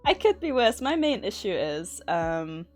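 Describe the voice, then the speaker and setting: A woman's voice: mid-range voice, very animated, takes it slowly, darkish tone, faint music behind. A woman hosting a livestream, chatting casually in a nervous, giggly way. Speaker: livestream host. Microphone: streaming microphone at a desk.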